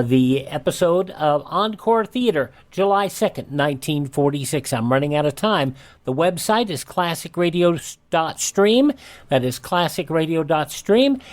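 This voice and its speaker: A male radio host presents in a conversational style. He talks straight to his listeners in an informal, practiced way. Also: sits high.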